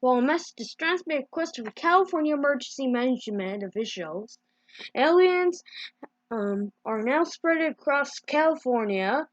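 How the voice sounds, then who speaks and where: A woman improvising mock emergency news bulletins as an amateur announcer, with halting delivery and mid-word corrections. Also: high voice, lively intonation, no echo, lo-fi sound.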